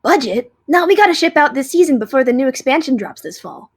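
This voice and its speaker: A woman voicing a smug, playful female dragon who quips deadpan in an audio drama. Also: sits high, fast talker. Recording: faint music behind.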